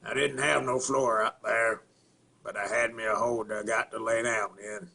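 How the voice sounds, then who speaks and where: Gravelly, slow Southern simpleton. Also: male voice, fairly even intonation, pushed and bright, exaggerated voice. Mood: flat, matter-of-fact. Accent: rural Southern US. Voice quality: low gravelly rasp with throaty grunts. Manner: halting, repetitive, plainspoken, folksy. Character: slow, simple-minded Southern man confessing to a killing. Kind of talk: dramatic film monologue.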